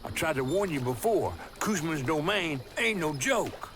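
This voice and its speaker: A man's voice: fairly high pitch, animated, measured pace. A man voicing a folksy, caring talking fish in a video game, with a Southern US accent and country turns of phrase. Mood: grave warning. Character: folksy talking fish, male, caring, nosy storyteller companion. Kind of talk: video game character acting. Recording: noisy water and boat ambience behind.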